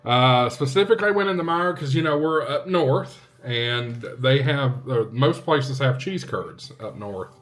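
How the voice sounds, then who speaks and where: A man talking casually to viewers as a vlog host, in an unhurried, halting way with short phrases. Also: medium pitch, lively intonation, fairly bright tone. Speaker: vlog host, ham radio hobbyist.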